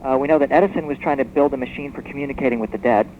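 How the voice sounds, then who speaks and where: Guy guesting on old shortwave radio, explaining stuff at length, dull sound with some hiss and rumble. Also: racing. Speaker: guest expert. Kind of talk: radio interview, explanatory storytelling. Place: radio broadcast interview. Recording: muffled noisy shortwave radio tape.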